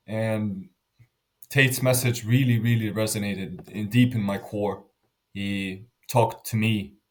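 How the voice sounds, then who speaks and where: A man in his twenties from Lithuania, answering interview questions earnestly, often restarting his sentences and trailing off softly. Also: lowish voice. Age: 20s. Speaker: interview guest.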